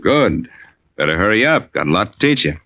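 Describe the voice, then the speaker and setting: An actor playing a gruff, reticent, fatherly seaman in a radio drama, with terse, halting replies. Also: lowish voice, big pitch swings. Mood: weary, resigned. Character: gruff married older seafaring diving man, reticent, fatherly. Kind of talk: 1940s radio drama acting. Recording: muffled, noisy old radio recording.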